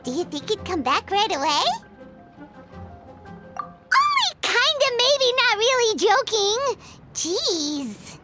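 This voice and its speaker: A woman voicing a tiny, childlike, excitable fairy companion in a video game, squeaky and exclamatory. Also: slow, pauses often, full character voice. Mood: chatty, teasing. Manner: exclamatory, refers to self in third person. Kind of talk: video game cutscene acting. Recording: loud music behind the voice.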